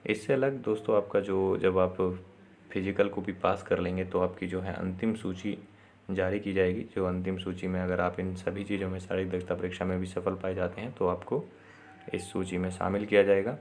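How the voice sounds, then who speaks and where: A man giving an informal instructional explainer, addressing viewers directly and often pausing on short confirmations. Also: sits low, little pitch movement, darkish tone, slight background noise. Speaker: presenter of an exam and job information video.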